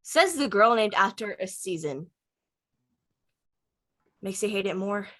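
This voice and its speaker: A woman's voice: medium pitch, animated, a little slow, a bit bright. A woman reading an introspective, dryly witty teenage boy in conversational screenplay table read dialogue. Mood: wistful, dry.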